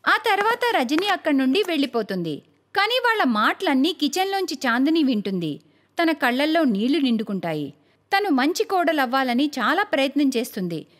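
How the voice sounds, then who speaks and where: Female cartoon storyteller, high voice, very lively intonation. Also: a little fast. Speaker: narrator. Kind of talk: scripted storytelling narration for a cartoon.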